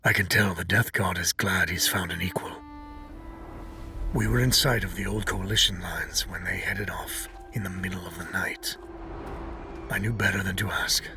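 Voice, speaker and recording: An actor playing a reflective male soldier, narrating quietly and broodingly in a video game. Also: deep voice, fairly even intonation, moderate pace, distant mic, music in the background.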